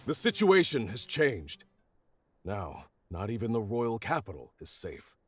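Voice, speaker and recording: Weary, low-voiced army general. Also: male voice, wildly swooping pitch, breathy edge, exaggerated voice. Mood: urgent, formal. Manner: formal, measured. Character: weary, dutiful, earnest army general, adult man. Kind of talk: dramatic video game voice acting. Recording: music behind the voice.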